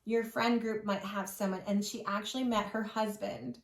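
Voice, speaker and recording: A woman's voice: mid-range voice, level tone, moderate pace, vocal fry. Echoey, casual-talking woman in her forties. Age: 40s. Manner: informal, peppered with "like". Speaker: co-host of a witchy chat channel. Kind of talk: casual chat. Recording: echoey room.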